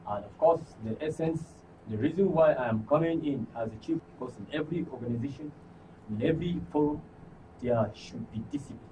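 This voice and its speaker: A man's voice: a little high, a little fast. A Nigerian man delivering a formal campaign statement as a candidate. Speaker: guild election candidate. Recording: distant mic, clearly noisy background.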